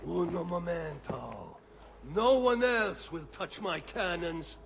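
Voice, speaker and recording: Grumpy, gravelly Italian cannon maker. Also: male voice, high voice, expressive, takes it slowly, slight background noise, heavily acted. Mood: grouchy. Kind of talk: video game cutscene acting.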